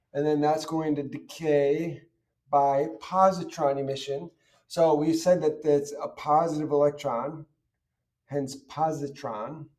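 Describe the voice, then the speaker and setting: A man's voice: a little high, a little mellow, recorded from a distance. A man teaching in an informal, step-by-step way, posing questions and answering them himself as he explains. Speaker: chemistry teacher. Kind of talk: informal step-by-step lesson, worked examples.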